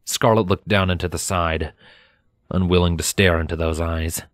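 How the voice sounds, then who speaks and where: A man reading scripted fiction as a narrator, measured and expressive in his storytelling. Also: low voice, dry recording, studio quality. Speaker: narrator of a fan fiction audiobook. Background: music.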